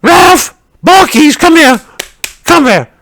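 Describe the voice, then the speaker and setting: A man playing a chatty, crude older working-class woman who rambles through a story and shouts for her husband and dog. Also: very high-pitched, expressive, slow pace, gravelly, voice pushed up, full character voice. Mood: gossipy. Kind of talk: stand-up character monologue.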